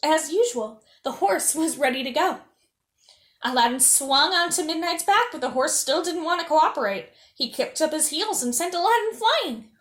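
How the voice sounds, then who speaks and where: Female storyteller for kids, very high voice, expressive read, recorded in an echoing room. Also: moderate pace. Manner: animated, acting out dialogue. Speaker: storyteller reading as a princess character. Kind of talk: expressive children's story reading.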